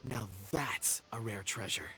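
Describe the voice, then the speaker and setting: A man's voice: lowish voice, unhurried, bright, forceful tone, slightly rough, heavily acted. A man playing an odd, forgetful, enthusiastic rock expert in a video game, eloquent and effusive. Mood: admiring.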